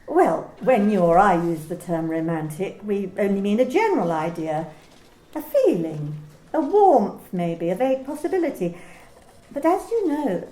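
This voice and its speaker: A woman's voice: fairly high pitch, dark tone. A woman acting an officious, politely menacing manager in an amateur radio play, with formal, precise, probing questions. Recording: roomy, echoey home recording.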